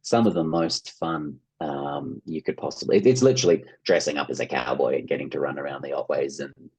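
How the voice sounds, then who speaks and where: Very deep, husky Australian man. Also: brisk, darkish tone. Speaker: interview guest, actor and writer. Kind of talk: casual interview chat, anecdotes.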